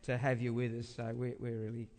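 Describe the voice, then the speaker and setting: A man's voice: medium pitch, monotone, darkish tone. A man with a big voice, preaching a conversational sermon as a pastor, repeating phrases and asking rhetorical questions. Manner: halting repetition of phrases for emphasis, rhetorical questions. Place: large indoor church space. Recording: reverberant hall sound.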